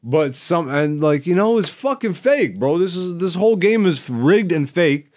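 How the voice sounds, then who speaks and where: A man's voice: fairly high pitch, sing-song, normal speed, darkish tone. A man who works as a stand-up comedian and podcast host, telling stories in casual, slangy, profane and emphatic talk. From born in Greece, Ethiopian by blood. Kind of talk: casual, animated podcast chat.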